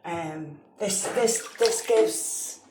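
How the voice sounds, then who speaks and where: A woman's voice: mid-range voice, extremely slow, noisy background. A woman giving casual true crime reaction commentary in a blunt, scornful way.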